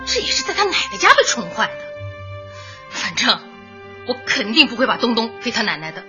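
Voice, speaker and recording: An actress playing a stubborn but soft-hearted widowed mother in a Chinese radio drama, with a husky, emotional voice. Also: on the high side, sing-song, fairly quick, lo-fi sound, over music. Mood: resentful. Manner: emotional, sighing.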